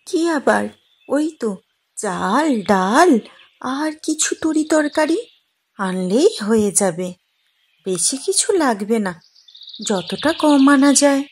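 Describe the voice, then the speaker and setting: A woman's voice: on the high side, animated, slow pace, long pauses, a little mellow, slight background noise. A woman voicing a thrifty, practical old wife who scolds her husband.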